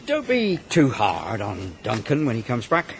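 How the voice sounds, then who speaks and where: A man's voice: medium pitch, wildly swooping pitch, a little slow. A middle-aged pig unit manager in a radio soap. He is patient and stubborn, speaking plainly with very mobile intonation over some background noise. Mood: mild, considerate. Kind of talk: naturalistic British radio soap acting.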